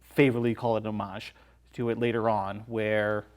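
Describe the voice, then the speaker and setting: A man's voice: lowish voice, flat delivery, moderate pace. A man talking as a podcast guest, analysing films in an explanatory, conversational way.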